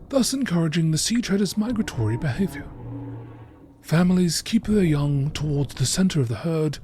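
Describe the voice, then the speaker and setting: A man reading scripted nature documentary narration aloud in a measured way. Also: on the high side, darkish tone, slight background noise.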